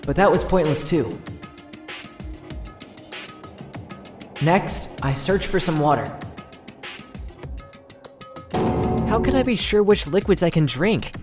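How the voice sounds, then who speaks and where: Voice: medium pitch, lightly acted. Actor as teenage schoolboy, earnest, dramatic first-person narration, video game fan dub, roomy sound, faint background music. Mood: puzzled, discouraged. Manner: earnest, dramatic, inner-monologue delivery. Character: earnest teenage boy student narrating his predicament. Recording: roomy echo, music underneath.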